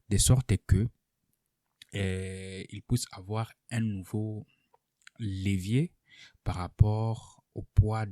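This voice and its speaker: A man presenting political analysis in an unscripted, explanatory way, deliberately and with pauses mid-sentence. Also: sits low, mellow, no room sound, clean studio sound. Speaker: political commentator presenting an online video.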